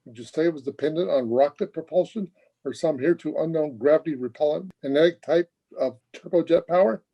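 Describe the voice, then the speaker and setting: Male actor as young airman, polite, earnest, wordy, lightish pitch, mellow tone, old-time radio comedy. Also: a little fast, dull audio. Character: earnest, polite, boyish twenty-year-old Air Force officer.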